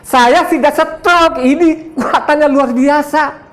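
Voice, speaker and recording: Combative elderly preacher. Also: male voice, extremely high pitch, slow pace, a bit bright. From Sumba, Indonesia. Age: 70s. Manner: combative, boastful, laughs often, quizzes listeners. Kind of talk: sermon to a congregation.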